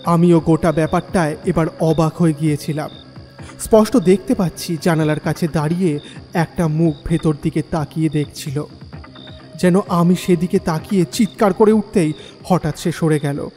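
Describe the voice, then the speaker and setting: A man's voice: on the high side, steady tone, normal speed, warm tone. A man narrating a horror story dramatically in the first person. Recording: long reverb, faint music.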